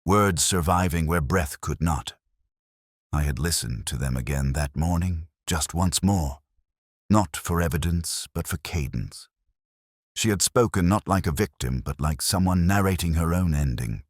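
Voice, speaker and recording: A man playing a weary, melancholy older police detective, narrating his mystery case in measured, brooding, literary first person. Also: low-pitched, no room sound, studio quality. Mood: wistful, resigned.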